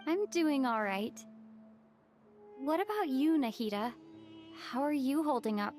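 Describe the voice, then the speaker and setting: A woman playing a sincere young female traveler in a video game, reflective, careful and quietly determined. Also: high-pitched, expressive, a little slow. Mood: warm, resolved. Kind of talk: video game story acting. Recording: music behind the dialogue.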